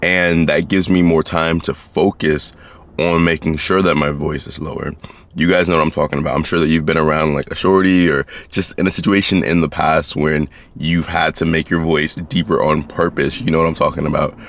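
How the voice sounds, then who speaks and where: A man's voice: rumbling low, little pitch movement, moderate pace, fairly bright tone. A young man giving casual advice straight to camera in a laid-back, chatty way, talking to his viewers as guys. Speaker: YouTube creator.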